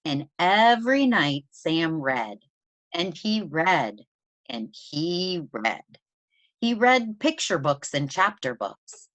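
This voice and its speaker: A woman with a low voice reads a picture book to children. Her delivery is unhurried and highly animated in pitch, with deliberate stress on key words. The sound is close and dry. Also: no room sound. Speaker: presenter reading a children's picture book aloud. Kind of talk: expressive read-aloud storytelling for children.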